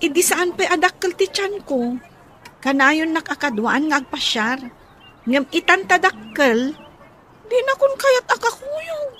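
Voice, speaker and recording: A woman's voice: extremely high pitch, big pitch swings, a little slow, fairly bright tone, a little hiss or hum, exaggerated voice. A woman playing a resentful young expectant mother in a radio drama. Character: resentful young pregnant woman, let down by partner. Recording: busy crowd sounds behind.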